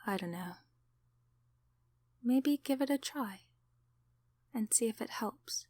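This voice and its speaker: A woman voicing a kindly sleep paralysis demon in an ASMR roleplay, encouraging and affectionate in a soft, soothing, often whispered delivery. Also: fairly high pitch, lively intonation, slow pace, no room sound, clean studio sound. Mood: gently chiding.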